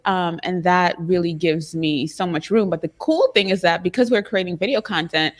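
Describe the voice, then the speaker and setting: A woman's voice: on the low side, fairly bright tone. A woman with a Trinidadian accent speaking as a panelist, thoughtful, with frequent ums and light laughter. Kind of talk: panel discussion.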